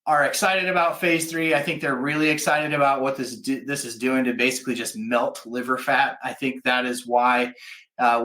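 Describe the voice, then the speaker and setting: Bright-voiced man in an echoey room. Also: medium pitch. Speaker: host of a health news live stream. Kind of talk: casual live-stream commentary. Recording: echoey, roomy sound.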